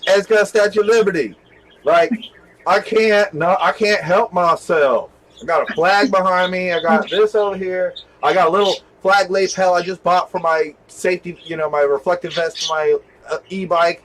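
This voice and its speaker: A man of about forty with a fairly high voice talks casually to viewers. He rambles along as host, doubling back and repeating phrases as he goes. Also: fairly even intonation, moderate pace, a bit bright, a little hiss or hum, rough recording. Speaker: video host.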